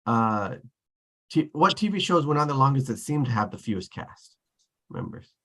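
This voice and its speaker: Husky low-key man, casual discussion. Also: sing-song, normal speed, a bit bright. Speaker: discussion moderator.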